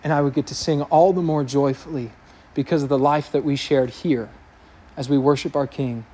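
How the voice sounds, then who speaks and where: A pastor preaching an earnest, emphatic sermon to his congregation in a church sanctuary, with a loud voice that builds to impassioned peaks. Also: medium pitch, steady tone, normal speed, husky.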